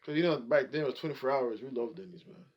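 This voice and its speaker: A young man chatting on a podcast, rambling through stories with drawn-out fillers. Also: mid-range voice, expressive, dull audio. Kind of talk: casual chat.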